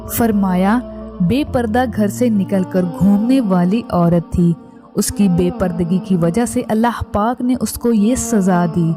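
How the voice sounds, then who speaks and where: A woman reads a scripted religious narration as a storyteller, in a measured, solemn manner. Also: mid-range voice. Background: music.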